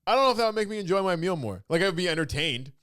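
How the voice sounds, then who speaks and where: A man from Canada hosting a casual podcast chat, quick and joking, often dropping into impressions. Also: sits high, lively intonation, fairly bright tone, clean tone, dry recording, clean studio sound. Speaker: podcast host.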